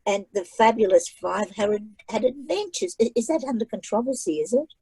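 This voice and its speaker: A chatty woman author talks over a video call, her voice mellow and a little husky. She learned English as a second language and leans on repeated phrases for emphasis. The sound is dry and close. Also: on the high side, lively intonation, moderate pace, no echo, rough recording. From migrated to Australia at age nine. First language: not English. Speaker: author and guest speaker. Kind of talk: casual online library talk.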